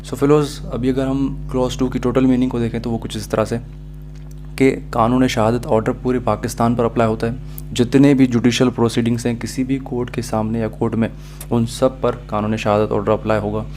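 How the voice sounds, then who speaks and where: Even-toned man lecturing, faint hum. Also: mid-range voice, brisk. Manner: didactic, checks in with listeners often. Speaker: law lecturer. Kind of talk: explanatory lecture mixing in English phrases.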